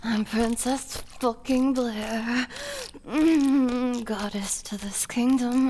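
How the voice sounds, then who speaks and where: A woman playing a possessive, adoring elven princess in an intimate adult audio roleplay, breathy and moaning, often dropping into whispers. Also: on the high side, darkish tone, a little hiss or hum. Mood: commanding, aroused.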